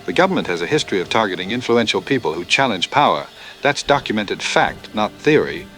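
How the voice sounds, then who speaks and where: A man's voice: on the low side, very animated, a little fast, fairly bright tone, soft background music. A man playing a combative, insistent populist talk show host in a news debate drama. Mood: accusing, defiant. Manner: insistent, confrontational.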